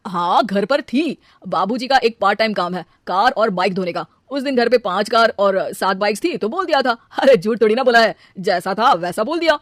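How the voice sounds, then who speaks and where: A woman's voice: medium pitch, expressive, normal speed, few pauses, no echo, studio quality, natural voice. Woman voicing a glib, boastful young husband. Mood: glib, defensive. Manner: glib, hesitates then brazenly justifies himself. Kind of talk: animated cartoon dialogue.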